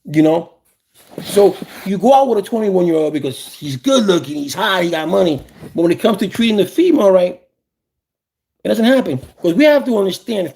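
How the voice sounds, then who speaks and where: A man of about fifty with a gravelly voice, talking casually and with opinions, often repeating phrases for emphasis. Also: on the high side, dark tone. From South Bronx, New York, USA. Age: around 50. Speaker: host.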